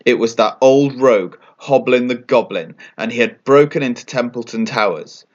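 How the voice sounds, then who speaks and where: A man reading a children's bedtime story aloud as an expressive narrator. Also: medium pitch, moderate pace, lo-fi sound.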